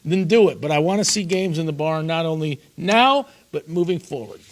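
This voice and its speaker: A man's voice: on the high side, animated, moderate pace. A man hosting a sports talk show, upbeat and emphatic, punching his points. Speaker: host.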